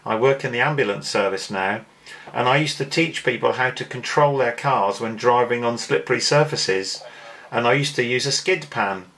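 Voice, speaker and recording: A man's voice: medium pitch, vocal fry, a bit bright. A middle-aged man with an English accent, chatting in an easygoing way over amateur radio. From England. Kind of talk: casual radio contact chat.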